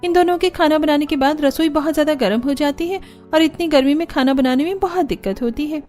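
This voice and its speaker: A woman's voice: high voice, fairly quick, darkish tone, faint music behind, voice pushed up, close to an everyday voice. A woman voicing an earnest, put-upon young daughter-in-law in a cartoon family drama, polite and plaintive. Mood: plaintive, weary.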